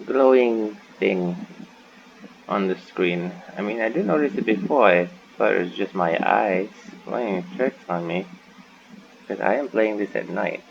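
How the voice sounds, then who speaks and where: A man's voice: mid-range voice, creaky, darkish tone, highs cut off, a little hiss or hum. A man commenting casually on a game as he plays, musing and thinking aloud. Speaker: gaming channel host.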